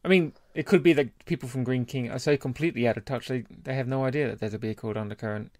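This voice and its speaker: A man's voice: mid-range voice, fast, a little mellow, no room sound, studio quality. A man co-hosting a podcast in casual, conversational chat. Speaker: podcast co-host.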